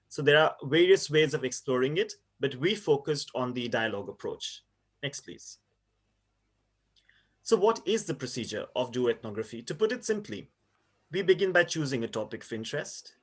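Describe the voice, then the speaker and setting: Measured Indian man presenting research. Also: medium pitch, fairly bright tone. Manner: measured, explanatory, with frequent fillers. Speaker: university lecturer presenting research. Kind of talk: conference presentation.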